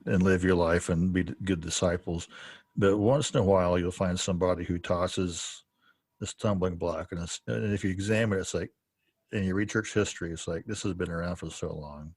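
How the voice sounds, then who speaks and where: A man's voice: sits low, moderate pace, a little mellow, breathy edge, no echo. A man hosting a podcast, talking in a chatty, casual way and chuckling as he speaks. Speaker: podcast host. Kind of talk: casual conversational interview.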